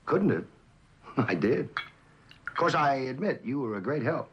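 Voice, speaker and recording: A suave, wildly swooping cynical spy. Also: male voice, medium pitch, big pitch swings, fairly bright tone, husky, full character voice. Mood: smug. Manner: theatrical, sly, musing with dramatic pauses and chuckles. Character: suave, cynical spy, a traitorous best friend who loves the game. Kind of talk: mid-century American TV drama acting. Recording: dull old television soundtrack.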